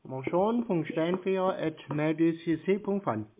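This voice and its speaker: Man, slightly high voice, slow, hesitant, clueless manner, phone-line sound. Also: a little hiss or hum. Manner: dawdling, feigned cluelessness. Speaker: prank caller toying with phone scammers. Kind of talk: slow, hesitant phone conversation, spelling things out. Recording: tinny narrowband phone line.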